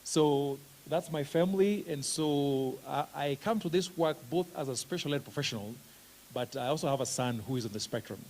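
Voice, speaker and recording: A middle-aged Kenyan man with a Kenyan accent giving a relaxed, joking conference talk as a professor of special education, with plenty of "uh" fillers. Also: a little high, moderate pace, poor audio. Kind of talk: conference talk, self-introduction. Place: conference hall.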